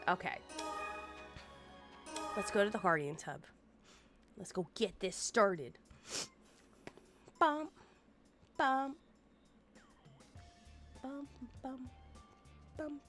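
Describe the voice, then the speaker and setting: A woman's voice: sits high, drawn-out words, long pauses, fairly bright tone. Playful vtuber lady with a stuffy nose, chatty, faint music behind. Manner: bubbly, playful, chatty with viewers. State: nasal congestion, sniffly. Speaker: VTuber game streamer. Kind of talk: casual game streaming chat.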